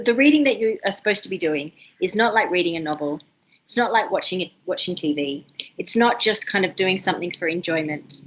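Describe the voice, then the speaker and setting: A woman with an Australian accent leading an online university seminar, talking fast and excitedly with many ums and restarts. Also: medium pitch, dull audio, a little hiss or hum. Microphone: video call.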